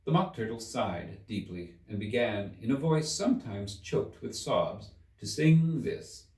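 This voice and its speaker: A man reading a story aloud in expressive scripted narration, recorded indoors. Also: lowish voice, unhurried. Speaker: storybook reader. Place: indoors, in a roomy space. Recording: echoey room, distant mic.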